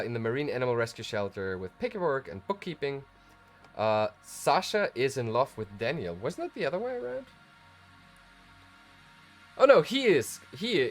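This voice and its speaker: A tired man hosting a casual live tutorial, thinking aloud in a rambling, self-deprecating way. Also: mid-range voice. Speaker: livestream host.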